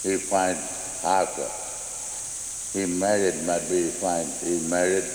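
Older man, elder, halting short phrases, very low voice, slow, long reverb. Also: little pitch movement, a little mellow, a little hiss or hum. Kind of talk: slow recorded testimony.

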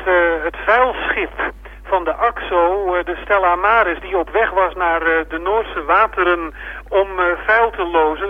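Dutch male radio reporter, very high-pitched, searching for words on a narrow tinny phone line. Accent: Dutch from the Netherlands. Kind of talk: interview questions, improvised.

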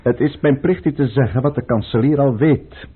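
An actor playing a scheming, controlled count and royal adviser with a measured, formal manner in a radio play. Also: male voice, mid-range voice, husky. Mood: resolute. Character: scheming, controlled male count advising the tsar, secretly tormented. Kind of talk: Dutch radio play acting. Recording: tinny, muffled old radio play recording.

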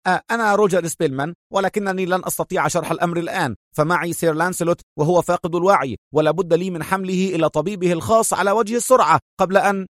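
Guy doing a worried gentleman in a spy tale, high urgent voice, kinda putting on the fright. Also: dry recording, clean studio sound, close to an everyday voice. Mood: hurried. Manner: hurried, loudly alarmed. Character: secret agent feigning frantic alarm as a gentleman.

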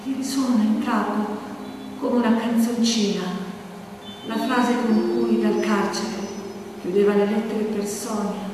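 Actress as serious woman narrator, suspenseful theatre reading, highish voice, big echoey hall. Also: steady tone, a little slow, darkish tone, slight background noise, close to an everyday voice. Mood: grave, tense. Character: grave storyteller recounting a political killing. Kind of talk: dramatic scripted stage narration. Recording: distant, very echoey hall, music behind. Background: music.

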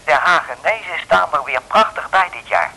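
An actor playing a nervous gangster informing in secret over the telephone, hesitant and urgent, in an old radio play. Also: male voice, fairly high pitch, big pitch swings, normal speed, vocal fry, bright, forceful tone, heavily acted, rough recording. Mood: nervous, secretive. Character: nervous male gang boss turned secret informant. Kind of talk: 1960s Dutch radio play acting.

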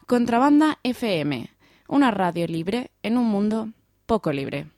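A woman's voice: medium pitch, animated, normal speed, dark tone, dry recording, studio quality. A woman reading a scripted station ident as a radio announcer.

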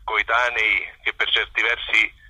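Low, husky man with a sharp bright edge. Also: level tone, no room sound, rough recording. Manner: halting, reflective, searching for words. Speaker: interview guest, singer-songwriter and performer. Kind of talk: casual spoken interview.

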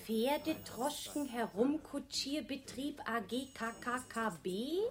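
Eine Schauspielerin spielt in einem Hörspiel eine skeptische, theatralisch schmachtende Bankangestellte mit übertriebenem Pathos. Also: female voice, a little high, takes it slowly. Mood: curious. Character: lovelorn, sceptical female bank worker, theatrically sentimental. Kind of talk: radio play acting.

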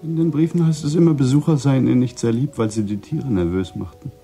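A man's voice: mid-range voice, quick pace, creaky, mellow, faint music behind, close to an everyday voice. An actor playing a composed, wary and urbane married man in a radio play, casual yet probing. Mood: casual, amused.

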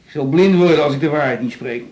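An actor plays a pestering working-class man in a hospital, in a roomy, echoing radio play. His voice sits fairly high, and he stammers and pleads with naive insistence. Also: fast talker, a little mellow, close to an everyday voice. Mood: insistent, aggrieved. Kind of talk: radio drama acting.

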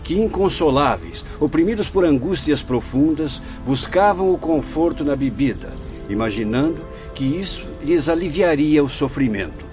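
An actor playing a wise, solemn spirit mentor in a radio drama, instructing in formal, preachy phrases over music. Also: male voice, on the high side, animated, normal speed, few pauses, close to an everyday voice. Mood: solemn, exhorting. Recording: dull lo-fi audio under music.

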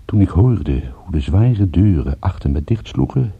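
An actor playing a weary, battered private detective, narrating a radio drama in a measured, grim way. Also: male voice, low voice, very animated, creaky, husky, heavily acted. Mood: tense. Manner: measured, grim, literary storytelling. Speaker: narrator and lead of a radio play. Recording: narrowband, muffled old radio recording.

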